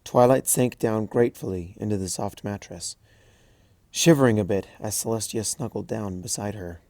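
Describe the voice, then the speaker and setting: A man reading a story aloud softly and evenly. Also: fairly low pitch, normal speed, dry recording. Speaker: fanfiction reader.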